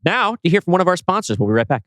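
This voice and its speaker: A man's voice: high voice, very animated, very fast, a bit bright, no echo, clean studio sound. A man talking casually as a podcast co-host, discussing writing in a conversational way. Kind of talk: casual chat about scriptwriting.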